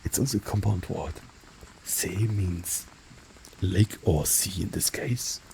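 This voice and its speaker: A man teaching vocabulary in a relaxed lesson, often whispering and slowly repeating each word. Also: deep voice, creaky, slight background noise, rough recording. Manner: soft-spoken, often whispers words, repeats them slowly. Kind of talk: relaxed spoken language lesson with whispered repetitions. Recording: faint rain in the background.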